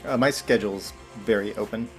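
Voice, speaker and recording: A man reading the part of a weary, awkward, evasive man in a table read of a TV script, in terse deadpan replies. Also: fairly low pitch, fairly quick, faint music behind, close to an everyday voice, poor audio. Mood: uneasy.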